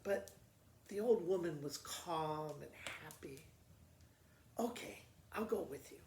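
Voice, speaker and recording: A woman reading a storybook aloud as a school principal, retelling it in a halting, informal, folksy way. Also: fairly low pitch, creaky, a little mellow. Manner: halting, informal, self-correcting. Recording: roomy echo with faint background noise.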